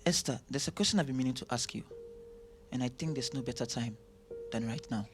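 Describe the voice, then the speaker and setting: An actor playing an affectionate, teasing young doctor and favoured son in an audio drama, earnest and playful. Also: male voice, medium pitch, brisk, faint music behind, close to an everyday voice. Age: young adult.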